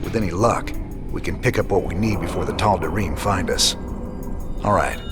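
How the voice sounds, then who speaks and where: An actor playing a weary, wry rebel commander in a video game, plain-spoken, dry and laconic. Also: male voice, low-pitched, moderate pace, natural voice. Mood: brisk, resolute. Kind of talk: video game cutscene acting. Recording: music behind the voice.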